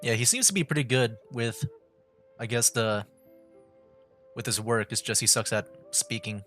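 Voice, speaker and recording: A man doing casual livestream game commentary, reading aloud in a halting way. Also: mid-range voice, fairly bright tone. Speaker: gaming streamer. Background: game music.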